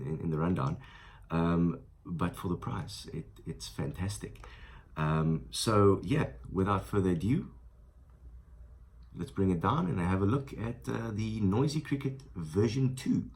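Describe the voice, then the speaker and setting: A South African man in his thirties with a stuffy nose from the flu, reviewing a product in a casual, rambling way with many fillers. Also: sits low. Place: small room indoors at a table.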